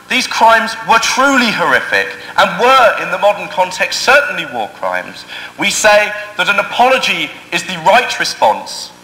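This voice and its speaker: A man giving a formal competitive debate speech, animated, with dry, sharp-tongued wit. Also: on the high side. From England. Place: debating chamber of a parliament building. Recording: echoey hall recording.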